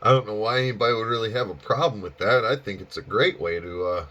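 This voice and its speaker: A man's voice: fairly low pitch, quick pace, sharp tone, lo-fi sound. A man chatting casually as an amateur radio operator, with an easygoing, folksy manner. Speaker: amateur radio operator and hobby video maker. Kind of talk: casual chat over ham radio.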